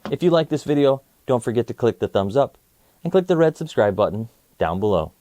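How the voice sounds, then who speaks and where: A man hosting a children's story channel, reading aloud in an expressive, rhythmic storytelling style. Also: medium pitch, a little fast, no room sound.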